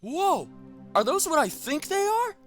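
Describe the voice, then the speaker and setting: A woman playing an excitable, eager young boy sidekick in a motion comic, wide-eyed and enthusiastic. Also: very high voice, measured pace, soft background music, full character voice. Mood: awed.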